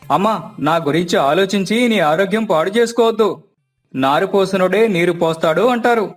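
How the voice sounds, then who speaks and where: నటుడు పోషించిన బాగా హెచ్చు గొంతు పల్లె యువకుడు. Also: male voice, high voice, moderate pace, faint music behind. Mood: consoling. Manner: philosophical, rustic colloquial speech. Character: easygoing fatalistic young village bachelor son. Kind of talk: dramatized moral story.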